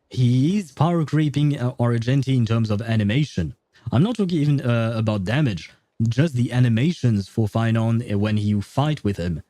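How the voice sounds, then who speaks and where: Male streamer, relaxed dark tone, medium pitch, rambling casual chat with viewers. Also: dry recording. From France. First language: French. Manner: rambling, chatty, answers chat as he goes. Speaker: game livestreamer. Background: faint game music.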